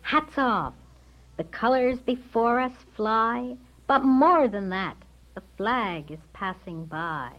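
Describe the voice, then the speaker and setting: A woman reciting a poem in a deliberate, declamatory, dramatic way. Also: fairly high pitch. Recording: slightly dull recording.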